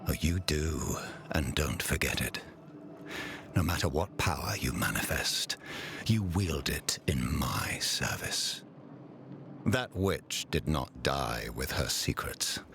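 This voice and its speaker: An actor playing an imperious, cruel ruler who commands holy inquisitors in a fantasy game, with a grandiose and menacing manner. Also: male voice, a bass voice, lively intonation, slow, vocal fry, fairly bright tone, a little hiss or hum, full character voice. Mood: quiet menace. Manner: grandiose, theatrical in proclamation, menacing in private. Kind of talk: dramatic fantasy game acting.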